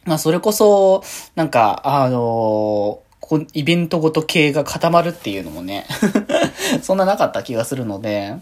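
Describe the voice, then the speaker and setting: A woman hosting a solo show in casual, diary-style chat, with an animated, rambling, giggly way of talking. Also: low-pitched, normal speed. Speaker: solo podcast host and streamer.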